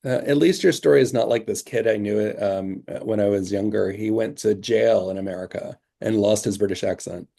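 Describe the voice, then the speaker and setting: Young male host, hesitant and filler-heavy, low mellow voice. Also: a little fast, creaky, hardly any pauses. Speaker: interviewer, host. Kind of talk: casual interview questions.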